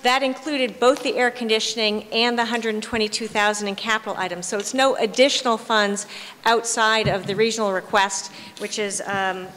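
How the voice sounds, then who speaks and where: A woman's voice: fairly high pitch, steady tone, normal speed, a bit bright. A woman speaking at a podium microphone, friendly and self-deprecating, explaining school budget figures at a town hearing. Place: large hall, at a podium. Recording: reverberant hall with faint room noise.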